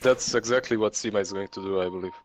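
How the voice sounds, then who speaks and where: Give me a man with a Russian accent casting esports in a relaxed, joking way. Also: on the low side, fast, a little hiss or hum. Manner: joking, laid-back. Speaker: co-caster. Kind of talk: esports commentary.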